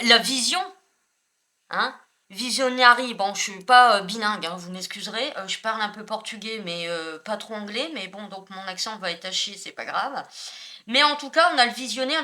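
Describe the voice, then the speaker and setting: Bright-voiced French woman, rambling reading. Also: a little high. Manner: rambling, self-correcting, many fillers. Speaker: spiritual tarot reader. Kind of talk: casual spoken card reading.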